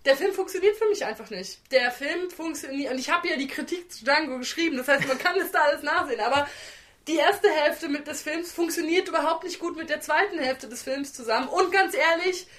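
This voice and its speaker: A woman with a notably high voice discusses films as a podcast co-host. She is opinionated and emphatic, speaking in casual, colloquial language like a critic among colleagues. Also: a little fast, fairly bright tone.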